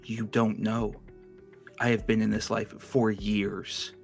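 A man playing a stern, bitter man born into crime, lecturing gravely and reproachfully in a tabletop game. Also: lowish voice, little pitch movement, takes it slowly, soft background music.

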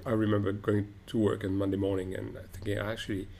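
Thoughtful low-voiced man, halting speech. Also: flat delivery, dark tone, a little hiss or hum. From Morocco. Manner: thoughtful, halting, many restarts and fillers. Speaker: opera director, guest. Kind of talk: reflective interview answers.

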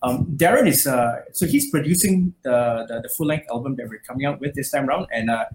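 A man's voice: mid-range voice, animated, fairly quick, a little mellow. A man from Malaysia, a metal musician, chatting casually in an interview, rambling with lots of fillers and restarts. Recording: echoey room, faint noise.

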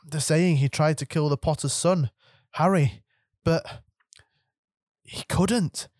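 Brisk older witch professor, stern and clipped, pitched well up, read by a man. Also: very animated, no echo, clean studio sound, exaggerated voice. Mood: distressed. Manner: clipped and impatient, rising to distress.

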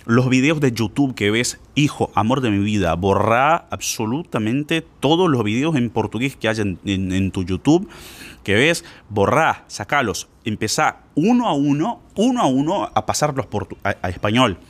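Animated male teacher. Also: medium pitch, moderate pace. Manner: informal, insistent, slangy, addresses listener directly. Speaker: Spanish teacher for learners. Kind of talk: casual spoken lesson.

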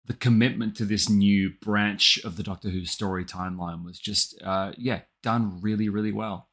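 A man's voice: fairly low pitch, steady tone, moderate pace, fairly bright tone. An Australian man chatting casually as an interview guest, effusive and colloquial about his writing work. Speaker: guest, audio drama writer.

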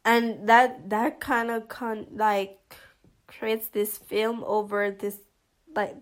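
A woman vlogger giving casual commentary in a monotonous voice, hesitant, with many fillers and restarts. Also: on the high side.

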